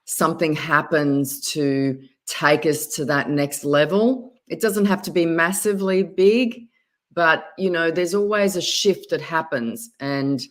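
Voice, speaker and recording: A woman with an Australian accent speaks as an interview guest, her voice sitting notably low. She tells her story in a hesitant way, often pausing and restarting her words. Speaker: guest, spiritual advisor.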